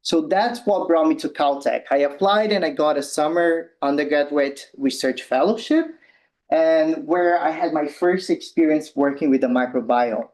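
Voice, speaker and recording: A young man from Brazil with a Brazilian Portuguese accent, giving a relaxed science talk as a postdoctoral researcher, with ums and slightly loose non-native phrasing. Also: on the high side, moderate pace. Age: young adult. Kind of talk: informal science presentation.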